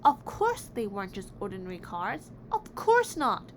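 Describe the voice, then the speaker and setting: Woman reading a storybook over clear noise. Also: medium pitch, wildly swooping pitch, moderate pace. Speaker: picture book reader. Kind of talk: read-aloud children's story narration. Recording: clearly noisy background.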